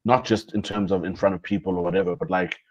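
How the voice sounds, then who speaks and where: A man's voice: low-pitched, steady tone, fast talker, gravelly. A young man co-hosting a podcast, talking things over in a casual, thoughtful way, often restarting his phrases and dropping slang. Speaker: podcast co-host. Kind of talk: casual reflective chat. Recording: dull lo-fi sound.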